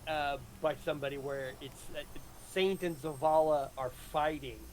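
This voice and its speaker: Casting: man, animated higher voice, casual stream host who stumbles over repeats, faint noise behind. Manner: halting, repeats words. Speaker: streamer, audio drama creator. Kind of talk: casual live stream chat.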